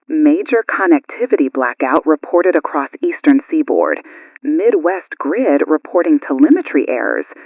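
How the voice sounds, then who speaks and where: A woman performs an alert radio news host in a broadcast drama. She sounds concerned, with a husky voice and lively intonation. The recording is dry and slightly dull. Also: low voice, no room sound, exaggerated voice.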